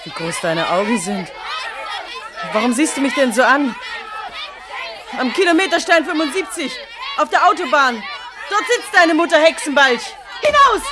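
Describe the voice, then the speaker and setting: A woman's voice: high-pitched, sing-song, moderate pace, long pauses, crowd noise. An actress with speech playing a bitter, jealous young petrol station woman in a radio play, agitated and theatrical. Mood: menacing. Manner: agitated, theatrical, swinging from muttering to sharp. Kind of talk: German radio play acting.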